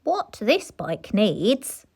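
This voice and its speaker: Woman playing a slow, emphatic, determined storybook lady cyclist. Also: medium pitch, huge pitch swings, darkish tone, heavily acted. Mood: determined, pondering. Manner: slow, emphatic, drawn-out delivery. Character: determined, resourceful, cheery eccentric woman cyclist. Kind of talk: children's storybook read-aloud.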